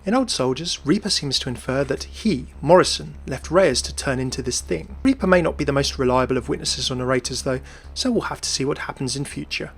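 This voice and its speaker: A man's voice: mid-range voice, brisk, gravelly, slight background noise. A man presenting scripted video game lore commentary in a chatty, enthusiastic manner.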